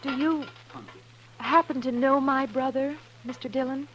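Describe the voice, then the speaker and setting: A woman's voice: high voice, steady tone, measured pace, dull audio. An actress playing a trusting, genteel young lady in a 1950s radio western, speaking politely and earnestly. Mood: earnest, hopeful. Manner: polite, soft-mannered, earnest. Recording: noisy 1950s radio recording with hum.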